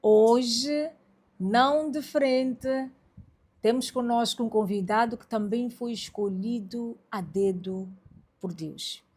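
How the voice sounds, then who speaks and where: A woman's voice: on the high side, slow pace, a little mellow, slightly rough. A woman hosting a religious podcast, preaching to listeners in an emphatic, exhorting way and addressing them directly. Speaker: podcast host.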